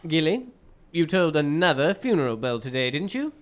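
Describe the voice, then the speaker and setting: A man plays an astute gentleman detective in a radio drama. He is courteous and formal, musing with little hums, and his pitch moves in lively swings. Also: brisk. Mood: inquisitive.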